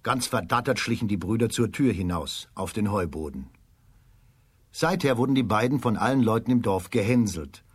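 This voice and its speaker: Children's radio play narrator, man: standard German, husky tone, dry close sound, expressive storytelling. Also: fairly low pitch, moderate pace, no room sound. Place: dry studio.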